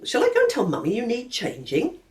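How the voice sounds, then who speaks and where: A woman voicing an excited, curious young schoolgirl full of questions. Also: on the high side, a little fast, recorded from a distance, pitched above the performer's own voice. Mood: wondering. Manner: eager, wondering questions. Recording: roomy echo.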